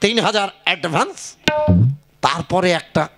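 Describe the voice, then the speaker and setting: A man voicing a wily, excitable village matchmaker in a comic puppet play, with a wheedling, exaggerated delivery. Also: sits high, measured pace, faint music behind, heavily acted. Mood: gleeful scheming. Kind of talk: comic Bengali folk puppet theatre.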